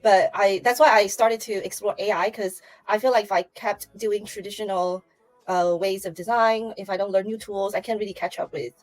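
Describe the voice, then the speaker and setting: A woman's voice: mid-range voice, fairly even intonation, moderate pace, rough recording. A woman from Taiwan, a guest on a livestream interview, chatting casually with many fillers and restarts over a remote call mic.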